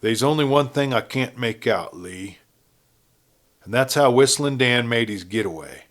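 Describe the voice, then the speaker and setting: A man's voice: medium pitch, expressive, measured pace, a bit bright. Guy reading as a ruthless outlaw leader, western story, folksy drawl, blunt talker. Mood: uneasy, menacing. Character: ruthless outlaw gang leader in the old West, male.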